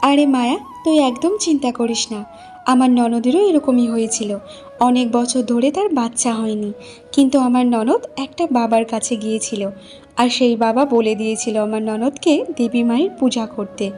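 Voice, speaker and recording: A woman's voice: fairly high pitch, moderate pace, mellow, soft background music, natural voice. A woman voicing a kindly, encouraging village friend in a cartoon, chatty and reassuring. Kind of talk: Bengali moral cartoon voice acting.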